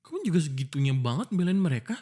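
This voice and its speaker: A man's voice: a little high, sing-song, fast, mellow, slightly rough. A man playing an exasperated, jealous young fiancé in a boyfriend audio roleplay, arguing emotionally with pointed questions. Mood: frustrated, accusing. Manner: emotional, argumentative, pleading, full of pointed questions. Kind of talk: boyfriend ASMR roleplay acting.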